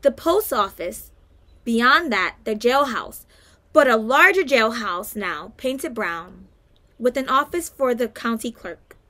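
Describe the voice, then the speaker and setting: A woman's voice: a little high, lively intonation, takes it slowly, sharp tone. A woman reading a story aloud as a guest narrator, careful, with occasional stumbles and self-corrections.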